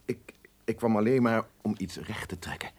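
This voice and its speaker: A man's voice: fairly low pitch, moderate pace, darkish tone, slight background noise, natural voice. An actor playing a formal, awkward male business client in a radio play, hesitant and repeating himself. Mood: awkwardly polite.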